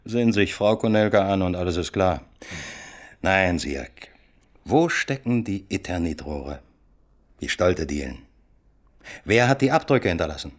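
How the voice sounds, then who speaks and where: Gruff senior detective, very low voice, terse and commanding, sardonic edge, radio drama. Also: male voice, lively intonation, measured pace, long pauses. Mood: skeptical, commanding. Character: gruff, pressured male chief police inspector leading murder inquiry. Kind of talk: German radio crime play dialogue.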